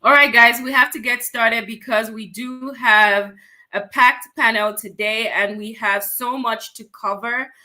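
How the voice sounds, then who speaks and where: Upbeat bright-voiced woman hosting a show. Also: on the high side, fairly even intonation, measured pace. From Cameroon. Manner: upbeat, enthusiastic welcoming. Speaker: host.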